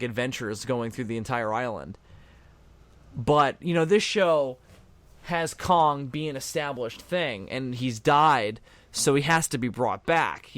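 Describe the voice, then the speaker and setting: A man's voice: mid-range voice, fairly bright tone. A man hosting a casual fan commentary on a cartoon, explaining things in a matter-of-fact way. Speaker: commentary host.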